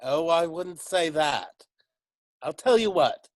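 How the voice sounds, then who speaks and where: Man as cheerful London chimney sweep, folksy, reassuring, slow pace, dry recording. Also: a little high, lively intonation, dry recording. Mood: cheerful, friendly. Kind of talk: amateur musical read-through.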